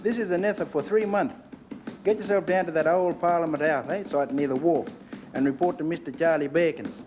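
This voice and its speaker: A man's voice: a little high, fairly quick, highs cut off, noisy background, close to an everyday voice. A man playing a patronising, cocky job office official in a satirical radio play, matey and slangy. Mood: officious.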